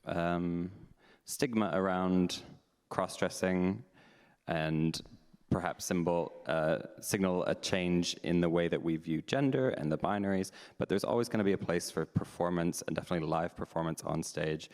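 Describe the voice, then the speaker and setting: Very deep voiced guy hosting a panel, candid, just thinking out loud, echoey hall. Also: moderate pace, clear, resonant tone. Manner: frank, candid, thinking aloud. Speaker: panel host, drag performer. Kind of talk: live panel discussion. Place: meeting house hall. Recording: long hall reverb.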